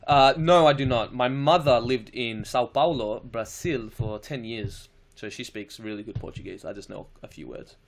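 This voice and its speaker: A man's voice: mid-range voice, moderate pace, vocal fry, hardly any pauses. A young Australian man with an Australian accent, chatting casually on a live stream, answering viewer questions in a laid-back, slangy way with casual swearing.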